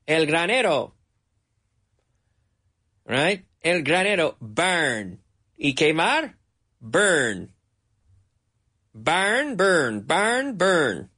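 A Canadian man teaching English in a patient, drilling way, saying each word several times. His voice sits a little higher than most men's. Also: sing-song, sharp tone. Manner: slow, repeats words for drilling. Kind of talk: bilingual English lesson.

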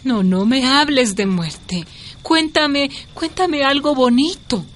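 An actress playing a gentle, worried woman teacher consoling a friend in a radio drama, coaxing and soft. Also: high voice, takes it slowly, slight background noise.

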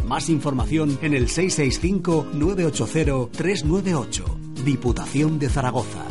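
A man's voice: on the high side, moderate pace. A man with a Spanish accent reading a scripted public service radio advert as an announcer. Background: prominent music.

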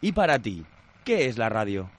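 A man's voice: on the low side, sing-song, normal speed, slight background noise. A man reading a scripted radio promo as its announcer.

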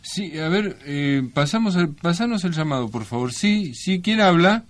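A man's voice: a little high, expressive, moderate pace. A man with an Argentine accent co-hosting a radio show with scripted comic banter. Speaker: radio co-host.

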